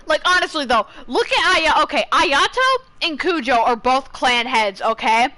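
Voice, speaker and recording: Bright, high-voiced young woman streaming. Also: expressive, moderate pace. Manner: chatty, opinionated, rambling. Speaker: game streamer. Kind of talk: casual gameplay commentary.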